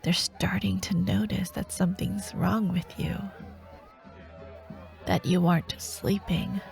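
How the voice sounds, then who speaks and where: A woman playing a menacing dark warlord who haunts nightmares, teasing and taunting in a fantasy ASMR audio roleplay. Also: medium pitch, level tone, creaky, soft background music. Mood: mocking, sinister. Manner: teasing, taunting, slow and deliberate, slipping toward whispers.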